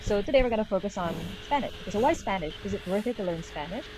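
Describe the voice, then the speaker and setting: A Filipino woman in her thirties, a native Tagalog speaker, talks through her slides on a video call. Her voice sits at a middle pitch for a woman. She keeps a friendly, conversational tone, with frequent "uh" fillers and "okay?" check-ins. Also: animated, racing, noisy background. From Philippines. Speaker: guest speaker. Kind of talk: online presentation.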